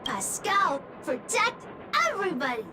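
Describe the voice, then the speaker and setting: A woman voicing a small, childlike male robot that speaks in clipped, broken single words, in a game cutscene over loud music. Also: extremely high pitch, sing-song, measured pace, heavy background noise, full character voice. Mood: halting, earnest. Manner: clipped broken word-by-word speech.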